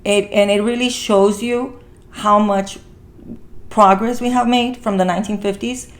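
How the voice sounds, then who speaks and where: A woman's voice: medium pitch, fairly even intonation, measured pace. A woman hosting a casual movie review talk, speaking earnestly and repeating phrases for emphasis. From Mexican heritage. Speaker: talk show host.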